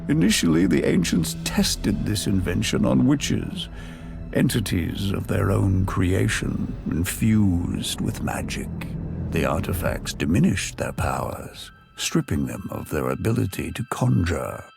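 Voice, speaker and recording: Ancient tree creature, low voice, expressive swings in pitch, solemn and wise, fantasy game, music behind. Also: male voice, gravelly, heavily acted. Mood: solemn narrating. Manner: solemn, measured, formal.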